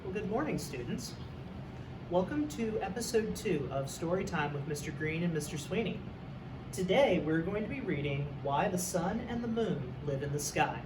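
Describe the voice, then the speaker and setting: A man's voice: sits high, lively intonation, moderate pace. A man who works as a teacher, reading a story aloud for young students. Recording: echoey room, distant mic, faint noise.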